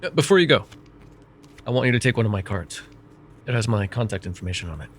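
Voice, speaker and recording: A man voicing a vain, wry, middle-aged male mage who counsels a student in an ASMR roleplay, speaking in a calm, measured and gently teasing way. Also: fairly low pitch, a little hiss or hum, natural voice. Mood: calm reassuring. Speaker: voice actor.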